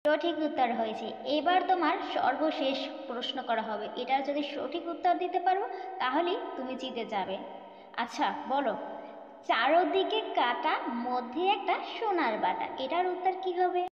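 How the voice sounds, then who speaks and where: A woman's voice: sits high, expressive, normal speed, reverberant, big-room sound, highs cut off. A woman playing a kindly female fairy in a cartoon, speaking in a formal, encouraging way. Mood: approving, testing. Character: kindly fairy queen posing riddles, female. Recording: music playing underneath.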